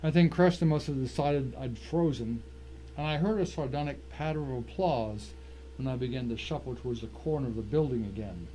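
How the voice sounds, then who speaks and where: A man's voice: mid-range voice, brisk, warm tone, natural voice, low-quality recording. A man playing a tense, determined man who narrates his own ordeal in a dramatic first-person story, with noise behind him. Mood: tense, suspenseful. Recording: clear background noise.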